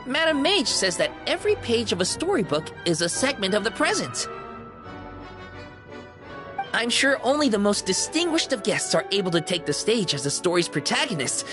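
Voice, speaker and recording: A living stuffed toy concierge from a video game, acted by a woman, speaks with courteous, bubbly flourish. The delivery is highly animated and theatrical, swinging widely in pitch. Music plays clearly underneath. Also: moderate pace, natural voice. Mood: enraptured, theatrical. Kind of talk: scripted video game character acting.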